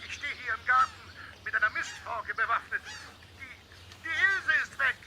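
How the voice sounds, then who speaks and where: Casting: frantic, high-strung neighbour, very high-pitched voice, calling by phone in a children's audio drama, noisy tape. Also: male voice, expressive, a little slow, heavily acted. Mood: panicked. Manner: alarmed, high-strung, breathless delivery. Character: flustered, panicking male neighbour with a pitchfork. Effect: heard over a mobile phone. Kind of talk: children's radio play acting. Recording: noisy cassette transfer.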